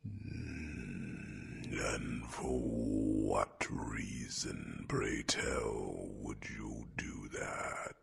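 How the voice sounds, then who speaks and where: A man voicing an ancient, tender, protective dragon in a slow ASMR fantasy roleplay, with a breathy growl, halting old-fashioned phrasing and drawn-out hums. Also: fairly bright tone, heavily acted. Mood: gently chiding. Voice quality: deep breathy gravelly growl.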